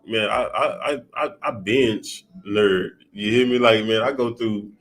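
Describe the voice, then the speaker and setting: A man hosting a casual podcast chat, talking in a relaxed, loose way with frequent restarts and filler phrases. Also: on the low side, a little slow. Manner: laid-back, many restarts and "you know what I'm saying". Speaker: podcast host.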